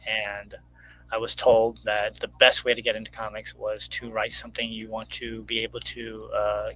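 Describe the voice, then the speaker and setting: Middle-aged man, halting explanatory talk, casual, forceful edge, noticeable hum and noise. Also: medium pitch. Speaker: guest writer and radio show host. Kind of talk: casual chat. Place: online video call. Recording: clearly noisy line with hum.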